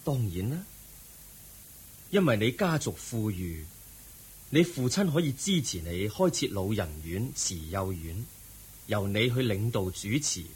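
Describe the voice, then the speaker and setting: An actor playing the Buddha as a serene, compassionate teacher in a radio drama, speaking measured, earnest Cantonese with a Hong Kong accent. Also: male voice, on the low side, very animated, a little hiss or hum. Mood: consoling, instructive.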